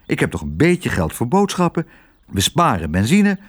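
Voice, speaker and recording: An optimistic drifter dad from a storybook, played by a man reading aloud, unbothered and reassuring. His husky voice rises and falls freely as he talks in a cheerful, easy way. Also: medium pitch, wildly swooping pitch, moderate pace. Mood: contented, persuasive. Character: easygoing, optimistic vagabond father.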